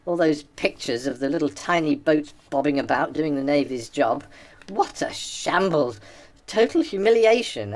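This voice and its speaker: A woman playing a pessimistic, scornful wartime office worker with a British accent in a radio play. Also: low-pitched.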